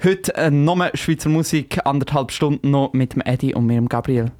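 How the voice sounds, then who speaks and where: A man's voice: medium pitch, moderate pace, a little mellow, slight background noise. A man with a Swiss German accent hosting a radio music show in a casual, upbeat way. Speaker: radio host. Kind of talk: radio presenting.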